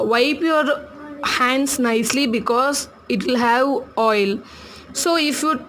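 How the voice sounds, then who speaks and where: A woman's voice: a little high, fairly bright tone, a little hiss or hum, low-quality recording. Chatty Tamil-accented woman teaching crafts. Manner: chatty, laughs often, repeats instructions slowly. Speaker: craft tutorial host.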